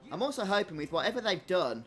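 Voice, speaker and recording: A man giving casual, chatty live commentary as a gaming streamer, offering measured opinions. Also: sits high, sing-song, fairly bright tone, faint music behind. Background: game soundtrack.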